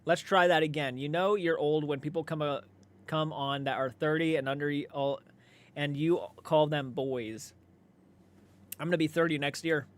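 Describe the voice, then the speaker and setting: A man with a slightly high voice hosts a casual livestream. He talks in a laid-back, conversational way, reading out comments and replying to them, and swears freely as he goes. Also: fairly even intonation, moderate pace. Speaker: livestream host.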